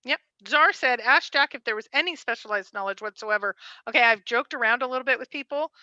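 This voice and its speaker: A woman's voice: on the high side, fairly quick, pushed and bright, dry recording, dull audio. A woman co-hosting a livestream, chatting casually and frankly, often digressing and reading out viewer comments. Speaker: livestream co-host.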